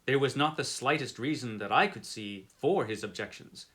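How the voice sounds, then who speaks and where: A man giving a melodramatic reading of a classic gothic story, slow and theatrical with big swings in loudness. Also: mid-range voice. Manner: dramatic, slow, with sweeping rises and drops.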